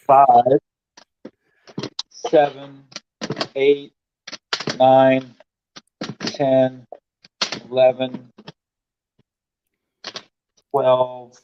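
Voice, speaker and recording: A man chatting casually on a livestream in an easygoing, unhurried way. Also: mid-range voice, wildly swooping pitch, a little hiss or hum. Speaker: livestream co-host. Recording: dull lo-fi mic.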